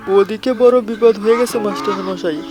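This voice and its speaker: Man acting high-voiced urgent village man, secretly a ghost. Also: steady tone, normal speed, over music, natural voice. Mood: urgent, worried. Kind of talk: animated horror story acting.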